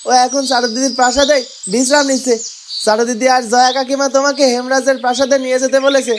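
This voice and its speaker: A woman's voice: sits high, level tone, quick pace, close to an everyday voice. A woman voicing an earnest, loyal young male villager in a cartoon, reassuring and comforting. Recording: birdsong and noisy ambience behind.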